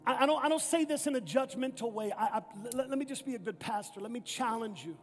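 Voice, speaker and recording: High-voiced preacher in an echoey hall. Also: male voice, quick pace. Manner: emphatic repetitive preaching with stammered restarts. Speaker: pastor. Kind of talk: sermon. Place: church sanctuary. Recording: echoey hall with faint music.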